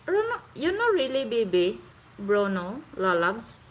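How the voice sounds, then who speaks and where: A woman's voice: on the high side, very animated, a little slow. A woman from the Philippines with a Visayan Filipino accent, chatting on a live stream and stopping often to search for words in English. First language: Cebuano. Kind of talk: casual live stream chat with viewers. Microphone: phone.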